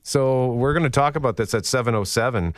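A deep-voiced man hosting morning radio, chatting in a lively, exasperated way. His voice sits at an ordinary pitch. The studio sound is dry and close. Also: a little fast, no room sound. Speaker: radio show host. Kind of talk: casual morning radio chat.

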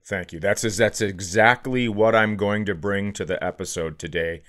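A man's voice: fairly low pitch, normal speed, a bit bright. An American man co-hosting a podcast with casual comedic chat in a sardonic, sweary manner. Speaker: podcast co-host.

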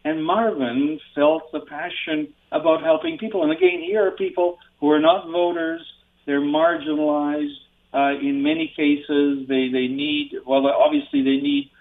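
A man's voice: fairly high pitch, vocal fry. A man calling in to a radio show, speaking earnestly and reflectively. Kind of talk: phone-in tribute. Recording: phone line.

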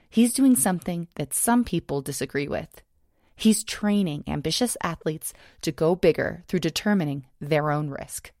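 A woman producer giving measured, scripted podcast narration. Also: fairly low pitch, darkish tone, no echo. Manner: measured, descriptive.

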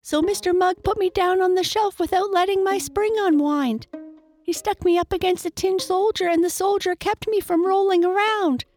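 A tiny, nervous toy mouse from a children's storybook. The voice is squeaky and sky-high in pitch. She pleads and frets in an exclaiming, girlish way. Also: fairly even intonation, moderate pace, darkish tone, faint music behind. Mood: nervous, chattering.

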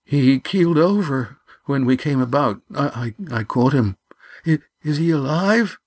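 A man's voice: medium pitch, expressive, a little slow, a little mellow, no room sound, studio quality, pitched above the performer's own voice. A man voicing a frightened, deceitful young sailor boy in a storybook, with a trembling, stammering, sobbing delivery. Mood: stammering, shaken. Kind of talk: audiobook character acting.

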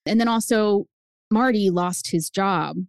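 A woman in her fifties whose first language is Spanish, telling her family story as a guest author, animated and jumping up in pitch for emphasis. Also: unhurried, no echo, clean studio sound. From United States. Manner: animated, swings up high for emphasis. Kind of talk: personal storytelling in an interview.

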